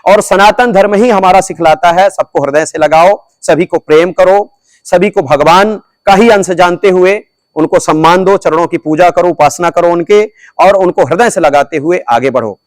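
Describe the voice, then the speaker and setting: A man with a high-set voice recorded close and dry, speaking as a religious leader at a press conference. He talks in an emphatic, preachy way and repeats phrases to drive his points home. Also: moderate pace, no room sound.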